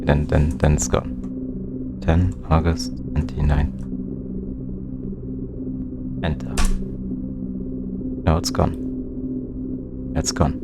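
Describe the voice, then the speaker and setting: Very low-voiced man thinking aloud while gaming. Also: steady tone, slow, pauses often, over loud music. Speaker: game streamer, let's-player.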